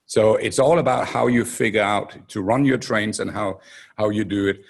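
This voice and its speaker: Danish panelist, middle-aged man, low gravelly husky voice, loose rambling delivery. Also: steady tone, moderate pace. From Denmark. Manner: loose, rambling with many fillers and restarts. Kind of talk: conference panel talk. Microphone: handheld mic.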